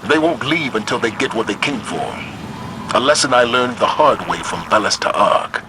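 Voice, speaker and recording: An actor playing a stern, battle-hardened male commander in a video game, giving grave and deliberate orders. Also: medium pitch, expressive, background noise, lightly acted. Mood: grim resolve. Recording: game audio with loud music.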